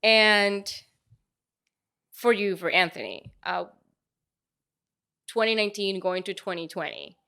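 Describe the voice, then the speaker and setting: A woman's voice: medium pitch, takes it slowly, long pauses, bright, forceful tone, clear, resonant tone. A woman from Venezuela speaking as an interviewer in a casual, conversational style.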